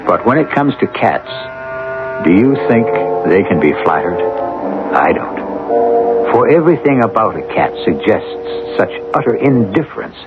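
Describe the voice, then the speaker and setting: A man narrating as host of a radio drama, in a theatrical, wry and deliberate scripted style. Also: fairly low pitch. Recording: phone-like narrow old radio broadcast.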